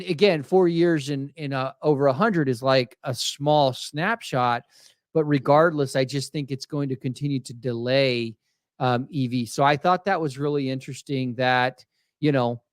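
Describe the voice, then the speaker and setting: A man from Texas co-hosting a casual automotive industry podcast, talking in an animated way with frequent restarts. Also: medium pitch, measured pace, no echo, studio quality. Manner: animated, many restarts, acts out quoted voices. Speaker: podcast co-host.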